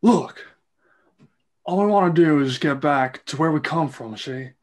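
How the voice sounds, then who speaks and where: A man's voice: a little high, measured pace, close to an everyday voice. A man playing Mario as a blunt, exasperated, money-minded plumber in an amateur script reading. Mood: exasperated, pleading.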